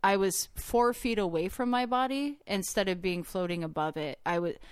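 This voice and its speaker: A woman's voice: mid-range voice, moderate pace, clear, resonant tone, no room sound. Chatty woman with a light Californian accent. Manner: chatty storytelling, laughs often. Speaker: guest. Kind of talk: casual conversation.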